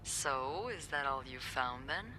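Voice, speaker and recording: A woman's voice: extremely low pitch, unhurried, background noise. A woman playing a young hotel receptionist, dry, teasing and knowing. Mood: wry. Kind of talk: video game voice acting.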